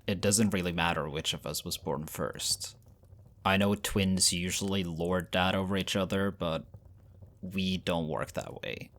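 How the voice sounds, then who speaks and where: A man playing a low-voiced, earnest pack leader. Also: a bit bright. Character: weary, caring young male shifter pack leader, twin brother. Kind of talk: dramatic audio roleplay.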